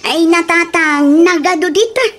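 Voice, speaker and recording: A woman playing a high-pitched, bossy farm boss. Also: fairly even intonation, a little slow, fairly bright tone. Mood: dismissive. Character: brisk, tight-fisted woman farm boss, the old man's daughter. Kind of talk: comedy radio drama acting.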